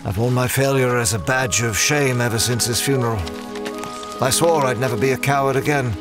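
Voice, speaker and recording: A man's voice: medium pitch, a little fast, music in the background, natural voice. A man acting as an earnest, guilt-ridden scholar adventurer in an audio drama, with a hesitant, confessional manner. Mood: haunted, confessional.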